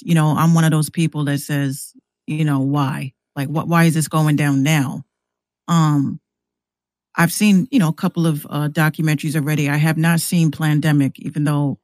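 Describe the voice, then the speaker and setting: A woman's voice: low voice, a little mellow, no room sound. Casual American woman as podcast guest, dry sound. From New York City, United States. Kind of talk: casual conversation.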